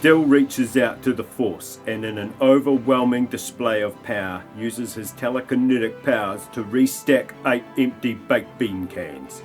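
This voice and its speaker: Slow, deadpan male narrator. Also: medium pitch, faint music behind. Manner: deadpan, mock-grand. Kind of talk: comic scripted narration of parody audio play.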